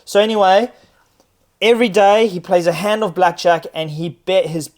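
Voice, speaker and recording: A man co-hosting a podcast in casual banter, excitable and swearing constantly. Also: fairly high pitch. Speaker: podcast co-host.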